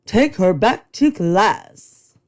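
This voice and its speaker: A woman's voice: mid-range voice, lively intonation, drawn-out words. A woman doing a fussy, bossy principal. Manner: emphatic, declaiming in rhyme.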